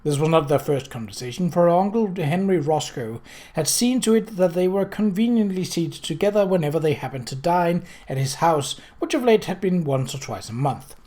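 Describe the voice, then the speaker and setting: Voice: medium pitch, expressive, normal speed, dark tone. A narrator reading a novel aloud as scripted storytelling. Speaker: audiobook narrator.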